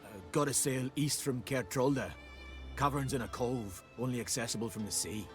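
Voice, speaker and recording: An actor playing an eager, eccentric island scholar in a fantasy game, using folksy archaic words. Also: male voice, mid-range voice, moderate pace, soft background music, lightly acted. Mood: hopeful. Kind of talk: video game cutscene dialogue.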